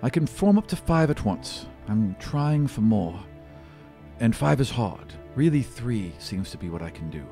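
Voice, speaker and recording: A man voicing a modest, earnest young female demigod student in a tabletop game, halting and matter-of-fact. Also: mid-range voice, big pitch swings, dark tone, faint music behind.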